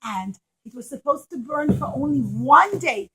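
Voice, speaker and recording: Female children's storyteller, high voice, emphatic and repetitive delivery for a young audience. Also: expressive, measured pace, crowd noise, low-quality recording. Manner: emphatic, repeats phrases for children. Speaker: children's storyteller and puppet show presenter.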